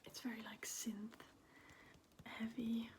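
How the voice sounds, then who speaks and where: A woman whispering in a breathy, unvoiced voice, chatting casually and hesitantly in an ASMR style as a video host. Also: a little high, monotone, slow pace, a little mellow, slight background noise. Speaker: video host showing a record collection.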